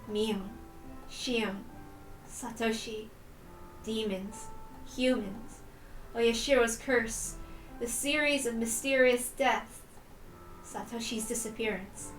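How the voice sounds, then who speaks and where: Girl streamer reading a visual novel out loud, highish voice, echoey, music in the back. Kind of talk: narration and casual stream commentary. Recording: roomy echo, distant mic, music behind.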